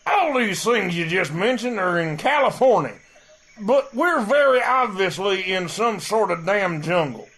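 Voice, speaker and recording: A man as a high-voiced, cranky soldier. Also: expressive, measured pace, fairly bright tone, slight background noise, exaggerated voice, low-quality recording. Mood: skeptical. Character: argumentative, skeptical male soldier, cranky know-it-all. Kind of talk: comedic audio drama acting.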